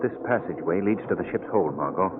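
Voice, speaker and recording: Casting: assured gentleman detective, lowish, lively inflection, urbane old-radio delivery. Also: quick pace. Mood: hushed, alert. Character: composed, authoritative gentleman sleuth. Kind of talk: 1940s radio drama acting. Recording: muffled, noisy vintage radio.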